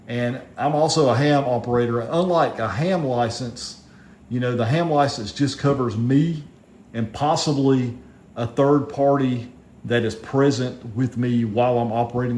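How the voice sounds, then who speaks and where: Mellow-voiced man in an echoey room. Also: medium pitch. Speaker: radio hobbyist reviewing gear. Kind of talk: casual product review vlog. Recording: echoey room, faint background noise.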